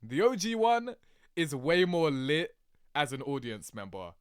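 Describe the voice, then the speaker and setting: Young man, animated, slangy commentary. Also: a little high, a little slow, clear, resonant tone, no echo, clean studio sound. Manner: slangy, swearing, repeats "you know what I mean". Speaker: gaming reaction host. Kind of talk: casual reaction commentary.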